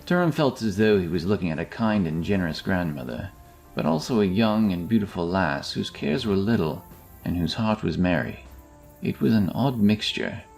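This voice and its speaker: A man narrating a scripted fantasy story in a measured, dramatic storytelling manner. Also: deep voice, big pitch swings, creaky, darkish tone, soft background music.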